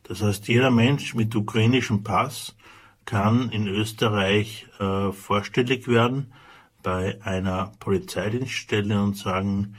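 A man with an Austrian accent, speaking for an aid organization, explaining rules in a measured way with frequent hesitations. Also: lowish voice, fairly even intonation. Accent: Austrian German. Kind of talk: radio interview answers.

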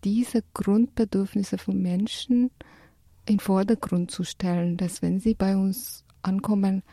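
Creaky, mellow woman guest. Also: medium pitch, fairly even intonation, a little slow, no room sound. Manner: hesitant, searching for words. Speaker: radio interview guest. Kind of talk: reflective conversational explanation.

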